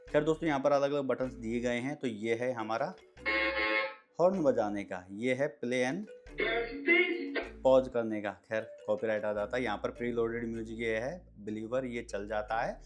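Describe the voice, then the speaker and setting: A man's voice: mid-range voice, moderate pace, darkish tone, faint music behind. A man giving a casual, step-by-step product review, addressing viewers as friends. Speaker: product reviewer and video host.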